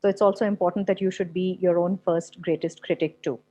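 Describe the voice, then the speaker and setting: A woman's voice: on the low side, fairly even intonation, brisk, warm tone. A woman writer who gives advice on a video call panel in a firm, direct way. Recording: slightly muffled video call.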